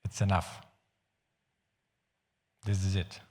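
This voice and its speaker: Dutch male preacher, low mellow voice, earnest and halting, long church reverb. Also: flat delivery. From Netherlands. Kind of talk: sermon. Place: church hall.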